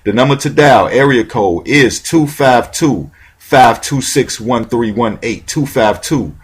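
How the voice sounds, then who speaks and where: A man's voice: medium pitch, a little slow, slight background noise. A man hosting a talk show, rambling with lots of restarts and casual slang. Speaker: radio show host.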